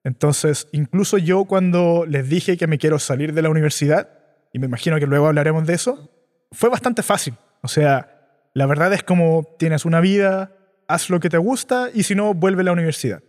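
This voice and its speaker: A man with a Chilean accent, a startup founder, telling his story in a relaxed, reflective interview. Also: on the high side, moderate pace, long reverb tail. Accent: Chilean Spanish. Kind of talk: conversational interview.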